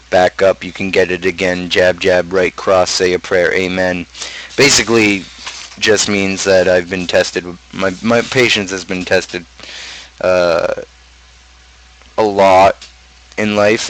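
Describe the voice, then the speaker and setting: A man talking casually as a streamer, explaining his own lyrics in a halting way, often restarting his phrases. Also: deep voice, fairly bright tone, rough recording. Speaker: streamer and rapper.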